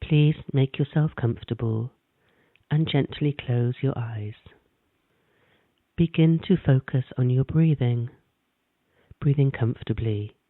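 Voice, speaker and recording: A man gently narrating a guided meditation in a calm, measured way. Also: mid-range voice, no echo. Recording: dry, tinny phone-like sound.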